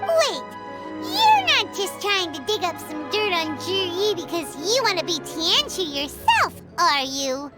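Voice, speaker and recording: A woman voicing a small, childlike fairy companion in a video game, squeaky, exclamatory and expressive. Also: measured pace, over loud music, heavily acted. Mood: whiny, suspicious. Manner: exclamatory, expressive, whiny when reluctant. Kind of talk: video game character acting.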